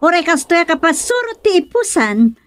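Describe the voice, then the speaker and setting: Woman playing a high-pitched, mocking Filipino scold. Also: big pitch swings, normal speed, background noise. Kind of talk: comedic radio drama acting.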